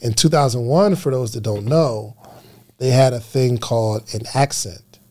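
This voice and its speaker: Mellow-voiced guy from New Jersey. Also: mid-range voice, measured pace. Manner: relaxed storyteller, many restarts. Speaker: podcast guest. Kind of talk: casual podcast conversation.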